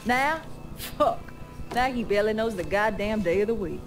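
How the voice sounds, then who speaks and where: A woman's voice: medium pitch, lively intonation, moderate pace, natural voice. An actress playing a tough, wry young woman smuggler in a crime game, talking bluntly and casually. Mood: bitter, weary. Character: tough, foul-mouthed young female smuggler, wry and weary. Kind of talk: cinematic crime game dialogue. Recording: music behind the voice.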